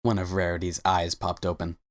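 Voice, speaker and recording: A man's voice: deep voice, completely flat delivery, brisk, no echo. A man giving a scripted dramatic reading as the narrator of a story. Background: faint music.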